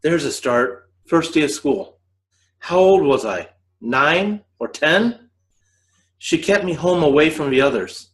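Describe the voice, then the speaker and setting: A man's voice: a little high, lively intonation, takes it slowly, reverberant, voice pushed up. A man reading the part of a tormented man in a radio drama, voicing his urgent inner monologue. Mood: brooding. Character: tormented disfigured man near suicide.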